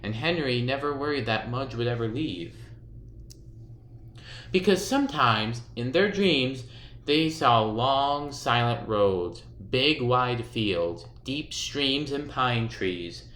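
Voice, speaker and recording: Male children's narrator: very lively intonation, unhurried pace, expressive emphasis, recorded in a roomy space. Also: mid-range voice. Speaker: storybook reader. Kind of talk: children's read-aloud narration.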